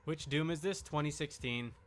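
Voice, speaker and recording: A man's voice: a little high, moderate pace, slight background noise. A man giving casual live gameplay commentary, muttering to himself as he plays. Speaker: video game streamer.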